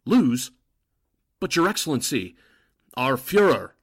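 Radio drama role: male actor as obedient, uneasy henchman, slightly raspy, lively pitch, close dry sound. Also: takes it slowly, no echo, exaggerated voice. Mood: shocked, uneasy. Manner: obedient, earnest, hesitant. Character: deferential newspaper editor, secretly a Nazi agent, uneasy underling. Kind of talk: 1940s radio drama acting.

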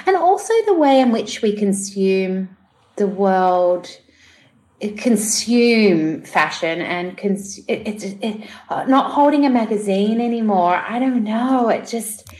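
A woman's voice: medium pitch, animated, slow, a little mellow. A middle-aged woman from New Zealand, chatting as an interview guest, laughing often, with lots of fillers and restarts. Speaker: podcast guest, TV producer and director. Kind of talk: casual interview chat.

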